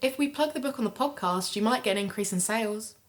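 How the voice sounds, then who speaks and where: A woman performs a keen podcast co-host who investigates cases in an audio drama. Her voice is a little high, natural and curious in both dialogue and narration. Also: fast talker, close to an everyday voice.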